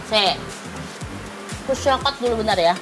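A young woman hosts a food show, her voice pitched high as she tastes and chats eagerly with the cook and the viewers. She talks casually in an open restaurant hut. Music plays clearly in the background. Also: animated, normal speed. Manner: chatty, enthusiastic, talks to viewers. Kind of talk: casual food review chat.

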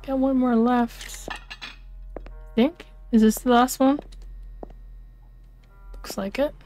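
Woman game streamer, fairly high voice. Casual, wary horror-game commentary, muttered to herself in short bursts. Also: fairly even intonation, takes it slowly, dark tone, faint music behind.

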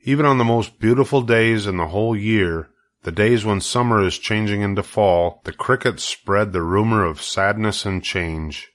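Male children's audiobook reader, lowish pitch, measured and deliberate delivery. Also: a bit bright. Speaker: storybook reader. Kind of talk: audiobook narration of a children's story.

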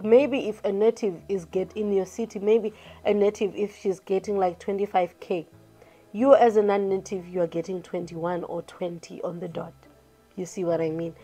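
Chatty young woman vlogging. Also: mid-range voice. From Zimbabwe. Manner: chatty, animated hand gestures, frequent restarts and "you get what I mean". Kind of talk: casual advice to camera. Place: small room indoors. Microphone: camera in front at close range.

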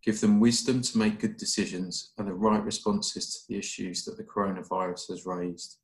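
Low-voiced man praying, echoey room. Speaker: church member leading prayer. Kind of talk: read-out intercession. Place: living room, on a sofa. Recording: echoey living room webcam recording.

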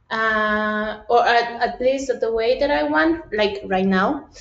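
A woman in her thirties from Costa Rica with a Spanish accent, sharing her experience in a video call, hesitant with many restarts and fillers. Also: on the high side. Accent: Costa Rican Spanish. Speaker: participant in online session. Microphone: laptop video call. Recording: roomy, dull video-call audio.

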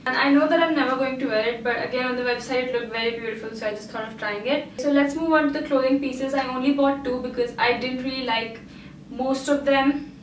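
A woman's voice: sits high, slight background noise. A woman in her twenties with a casual, chatty way of talking, full of ums and restarts, reviewing her purchases to camera indoors. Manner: chatty, hesitant, lots of um and I mean. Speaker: beauty vlogger. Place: small indoor room. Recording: roomy echo, mic at a distance.

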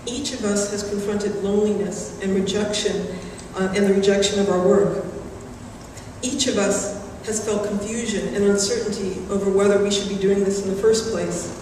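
A middle-aged woman delivering a prepared graduation speech in a measured, earnest way at a podium microphone in a large hall. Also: mid-range voice, steady tone, warm tone. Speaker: graduating student class speaker. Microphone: podium microphone, recorded from the audience. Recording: very echoey hall, distant, background noise.

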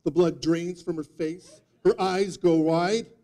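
A man in his fifties giving a humorous storytelling speech, with theatrical deadpan delivery and dramatic pauses. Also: fairly high pitch. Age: 50s. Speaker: speech contest speaker. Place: meeting room before a live audience, indoors. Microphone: distant audience camera microphone.

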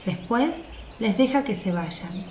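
A woman's voice: medium pitch, animated, slow, darkish tone. A woman reading scripted narration for a radio drama. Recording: roomy sound with faint noise. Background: birdsong, outdoor ambience.

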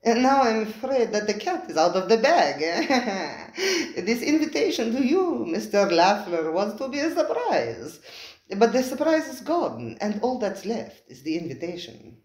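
A reader voicing a sly, smooth male restaurant owner who purrs his words with false charm. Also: mid-range voice, big pitch swings, a little slow, reverberant, pitched above the performer's own voice. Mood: smug. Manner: purring, silky, falsely genial.

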